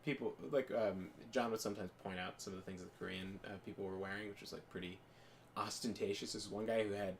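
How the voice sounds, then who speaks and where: Rambling young man with a lowish voice. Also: fairly even intonation, quick pace, slight background noise. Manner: rambling with many fillers and restarts. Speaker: podcast co-host. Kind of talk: casual chat while gaming.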